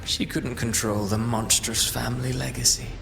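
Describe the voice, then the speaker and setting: An actor playing a brooding, ominous villain in a video game, reciting poetic monologues in a grandiose, deliberate way. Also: male voice, medium pitch, flat delivery, vocal fry, music in the background. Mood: mocking, ominous.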